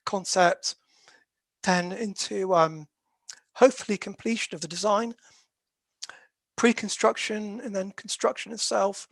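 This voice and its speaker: A croaky, gravelly presenter lecturing in a steady, explanatory way, pausing often on "um" between phrases. The voice sits at a middling pitch and sounds close and dry, with no room around it. It comes across as a dispute resolution specialist walking through a webinar. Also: no echo. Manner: hesitant, with very frequent "um" fillers.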